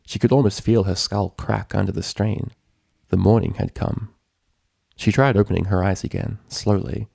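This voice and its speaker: A man's voice: on the low side, steady tone, moderate pace, creaky, dark tone, no room sound, clean studio sound. A man reading a story as scripted narration, in the manner of an audiobook reader. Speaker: fan fiction reader.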